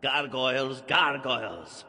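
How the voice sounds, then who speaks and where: An actor playing an excitable, gleefully eerie ringleader in an audio drama, exclaiming and urging in a declamatory way. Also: male voice, mid-range voice, slow, slightly rough, full character voice. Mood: sly, playful.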